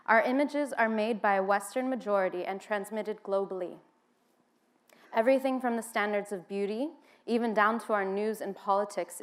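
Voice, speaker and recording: American woman, talk speaker, relaxed conversational delivery, lots of "um"s, mid-pitched. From United States (Washington, D.C.). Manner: conversational, with frequent "um" fillers. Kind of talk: informal seated talk to an audience.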